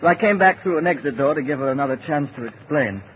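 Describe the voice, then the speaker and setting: An actor playing an anxious, defensive murder suspect explaining himself earnestly in an old-time radio drama. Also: male voice, on the high side, animated, fast, close to an everyday voice. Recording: tinny, noisy old radio recording.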